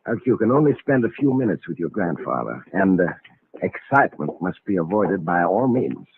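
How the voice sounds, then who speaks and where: A courteous, solemn lawyer in an old radio drama. His formal speech swings in pitch with small hesitations, over a narrow, hissy recording. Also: sing-song, moderate pace. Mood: businesslike. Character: courteous, solemn family attorney, a grown man. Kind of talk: vintage radio drama acting. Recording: tinny, noisy vintage radio recording.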